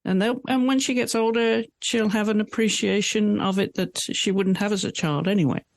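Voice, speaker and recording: Gravelly-voiced woman host, reassuring. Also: mid-range voice, moderate pace, no echo. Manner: reassuring, supportive. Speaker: podcast host. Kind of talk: interview questions.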